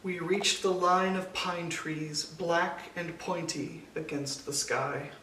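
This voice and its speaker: High-voiced man slowly reading a storybook, echoey room. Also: fairly even intonation, sharp tone. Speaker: storybook reader. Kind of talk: scripted children's picture-book narration read aloud. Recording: echoey room, distant mic, faint noise.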